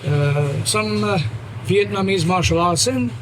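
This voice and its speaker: A man's voice: sits high, a little slow. A man in his thirties with a Moroccan accent, talking casually as an interview guest in plain, emphatic English. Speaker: guest, professional fighter and trainer. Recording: noisy background.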